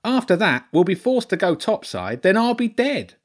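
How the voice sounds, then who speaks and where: A man performs an enormous lordly rat from a children's storybook, pitched high and swooping. He is pompous and greedy, with a grand, complaining way of speaking. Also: very animated, moderate pace. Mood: aggrieved. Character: huge pompous gluttonous rat lord, male.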